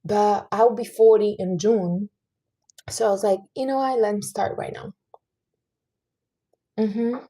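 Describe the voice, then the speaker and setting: Mellow, husky woman in her late thirties. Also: mid-range voice, steady tone, unhurried, long pauses. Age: 30s. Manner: chatty and earnest, giving life advice to viewers. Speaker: livestream host. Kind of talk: casual livestream chat.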